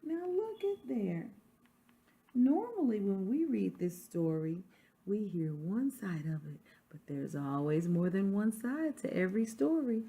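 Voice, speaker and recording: A woman teacher giving quiet conversational asides during a read-aloud and putting questions to her listeners. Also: mid-range voice, big pitch swings, unhurried. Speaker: sixth grade teacher.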